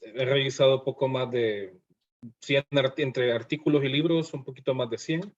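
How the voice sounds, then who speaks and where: A man from El Salvador, a doctoral student, explaining his research plan in a measured way on a video call. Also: mid-range voice, muffled recording. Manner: measured, tag questions.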